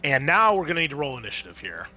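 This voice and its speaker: A man's voice: on the high side, very animated, rapid-fire, pushed and bright, muffled recording, faint music behind. A man running a tabletop roleplaying game as game master, switching between conversational rules talk and dramatic scene description.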